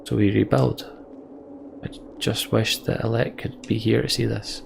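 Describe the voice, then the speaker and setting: A man hosting a let's play, commenting and reading game text aloud in an unhurried, reflective way. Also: fairly low pitch, little pitch movement, gravelly. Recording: slight background noise.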